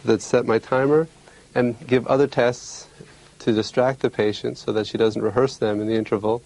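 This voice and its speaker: A man speaking as a clinician demonstrating an exam, giving polite, measured, clearly worded instructions and explanations. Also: mid-range voice, steady tone, a little mellow, low-quality recording.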